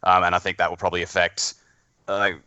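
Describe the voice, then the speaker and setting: A man's voice: sits low, flat delivery, fast talker, sharp tone, clear, resonant tone, no echo, dull audio. A man with an Australian accent chatting casually as a podcast guest, often hesitating as he thinks aloud. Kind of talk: casual chat.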